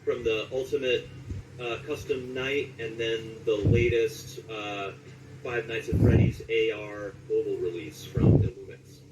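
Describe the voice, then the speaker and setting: A man's voice: lowish voice, fairly even intonation, unhurried. A man in his late forties speaking as a voice actor being interviewed, telling stories in a conversational way. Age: late 40s. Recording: roomy echo, distant mic, clear background noise.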